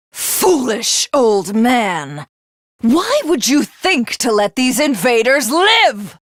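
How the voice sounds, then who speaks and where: An actress playing a haughty, vengeful alien warlord in a video game, speaking in formal, mocking, theatrical lines. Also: female voice, a little high, no room sound, studio quality. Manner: imperious, mocking, formal archaic diction. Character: haughty, vengeful alien warlord, a self-styled living god. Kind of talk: theatrical game villain monologue.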